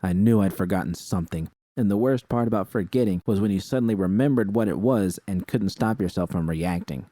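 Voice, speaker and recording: Low, mellow man narrating fiction. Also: brisk, hardly any pauses, no echo, clean studio sound. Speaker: audiobook narrator. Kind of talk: first-person scripted fiction narration.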